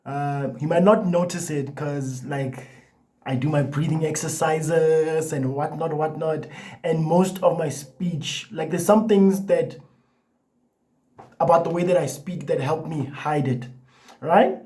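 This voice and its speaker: Mellow young man who stutters. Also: a little high, a little slow. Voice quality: stutters, with repeated words and restarts. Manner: loose and chatty, full of "like" and "you know". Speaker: vlogger. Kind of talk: casual talk to camera.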